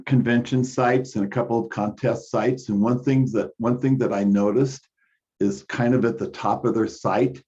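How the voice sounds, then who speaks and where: A man's voice: mid-range voice, dark tone. A man offering suggestions casually as a participant in a meeting. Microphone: remote teleconference call. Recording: dull, lo-fi conference call audio.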